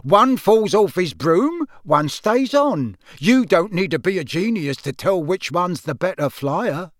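Middle-aged wizard father, storybook, booming, genial, boastful, colloquial, raised pitch, very animated. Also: a little slow, no echo, studio quality. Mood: proud, boastful.

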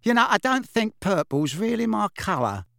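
Guy doing a cheeky young witch for an audiobook, kinda high and bouncy, blunt and chatty. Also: big pitch swings, a little slow, vocal fry, dry recording, clean studio sound, full character voice. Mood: breezy, frank. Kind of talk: audiobook character voice.

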